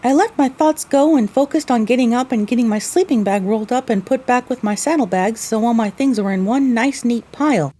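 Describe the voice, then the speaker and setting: A woman's voice: fairly high pitch, brisk, hardly any pauses, mellow. A woman narrating a scripted first-person story.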